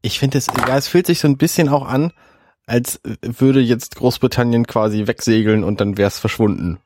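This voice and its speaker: A man from Germany speaks as a partner in a casual discussion. His voice has an average male pitch and is captured dry, with very little room around it. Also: moderate pace, darkish tone, no room sound. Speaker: conversation partner.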